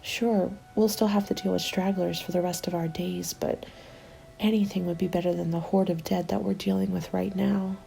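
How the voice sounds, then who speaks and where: Soft, mellow woman acting a hopeful apocalypse survivor. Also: lowish voice, flat delivery, moderate pace, gravelly, rough recording. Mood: reflective, worried. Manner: soft-spoken, often slipping into whispers. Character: hopeful female zombie apocalypse survivor in a winter colony. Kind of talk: ASMR roleplay, intimate storytelling. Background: background music.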